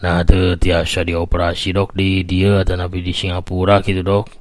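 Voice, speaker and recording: Anxious male hospital visitor for audio story, done by a male storyteller: Sundanese, deep, deferential, hesitant, dry sound. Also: completely flat delivery, normal speed, no room sound, natural voice. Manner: hesitant, respectful questioning. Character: worried, deferential man at a hospital.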